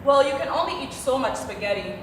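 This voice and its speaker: A woman's voice: high voice, expressive, quick pace. Woman playing a sarcastic tipsy daughter, echoey stage. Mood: sarcastic, smug. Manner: sardonic, cutting. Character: sarcastic, tipsy adult daughter of a wealthy businessman. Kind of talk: stage play acting. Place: theatre stage, recorded from a distance. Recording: echoey theatre stage from far off, faint noise.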